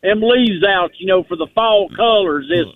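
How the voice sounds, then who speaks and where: A man's voice: sits high, normal speed, over a noisy crowd. A man calling a radio show, folksy and drawling. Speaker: radio caller. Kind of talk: phone-in chat. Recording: tinny phone line.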